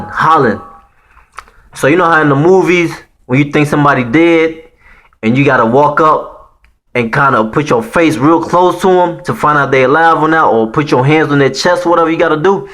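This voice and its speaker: A man's voice: a little high, normal speed, a bit bright. Haitian-accented man telling a story. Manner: slangy and profane, peppered with "man" and "you know what I'm saying". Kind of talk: casual spoken storytelling to viewers.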